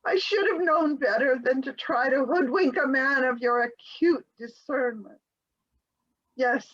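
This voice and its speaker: A woman's voice: sits high, a bit bright, highs cut off, exaggerated voice. A woman playing a fluttery, evasive, genteel housewife in a comic stage scene, over-polite and theatrical. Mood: coyly confessing.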